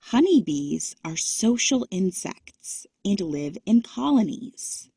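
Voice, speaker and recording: A woman's voice: mid-range voice, very animated, slow pace, vocal fry, mellow, breathy edge, dry recording. A woman reading scripted educational narration for children, carefully enunciating short, simple sentences and terms. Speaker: narrator of a children's nonfiction book.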